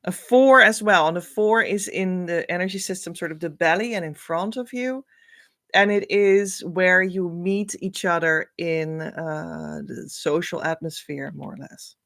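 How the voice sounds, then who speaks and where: Slow, musing Dutch-accented woman. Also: fairly low pitch, vocal fry, fairly bright tone, no echo, clean studio sound. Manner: thinking aloud, musing, hesitant. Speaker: spiritual coach. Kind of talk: casual spiritual reading.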